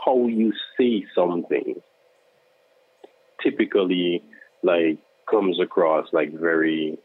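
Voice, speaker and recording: A deep-voiced man talks in an earnest, self-assured way, with lots of fillers. He comes through a tinny, phone-like line. The sound is dry, with no room echo. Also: steady tone, slow. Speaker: guest, social worker. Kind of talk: casual podcast chat.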